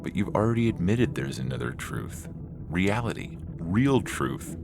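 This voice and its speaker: Casting: man as earnest condemned male prisoner pleading his case, fairly low voice, sci-fi audio drama over music. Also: sing-song, a little slow, gravelly, heavily acted. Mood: challenging. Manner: questioning, earnest. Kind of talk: dramatized science fiction story reading. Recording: music bed under the voice.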